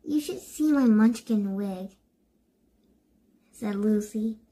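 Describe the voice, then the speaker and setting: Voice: mid-range voice, slow, dark tone, slightly rough. A child reading a storybook aloud, word by word, with stumbles and self-corrections.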